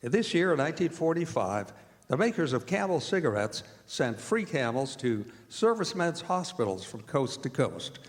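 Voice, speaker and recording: A man's voice: on the high side, very animated, normal speed. An American man announcing in old-time radio style, reading scripted copy as the show's announcer. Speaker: announcer and director of a radio theatre group. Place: performing arts center stage. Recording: echoey hall with music.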